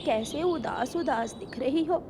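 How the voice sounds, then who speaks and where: A woman voicing a kindly, caring old mother bird in a cartoon, with a calm motherly manner. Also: sits high, unhurried, noisy background.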